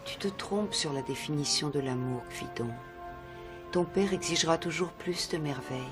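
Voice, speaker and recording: An actor playing a wise magical helper, gently admonishing, in a dubbed animated fairy tale. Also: medium pitch, normal speed, lightly acted. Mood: gentle, grave. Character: calm, wise magical helper counselling the prince. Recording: loud music over voice.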